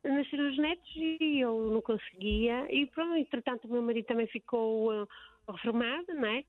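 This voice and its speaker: An older woman from Portugal with a European Portuguese accent, calling in to a show and telling her story in a hesitant, halting, emotional way. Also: on the high side, no echo. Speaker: caller. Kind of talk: phone-in chat. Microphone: phone line. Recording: tinny phone line.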